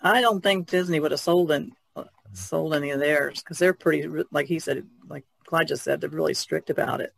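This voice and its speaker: Creaky, higher-voiced man in casual chat. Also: brisk, fairly bright tone. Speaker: podcast panelist.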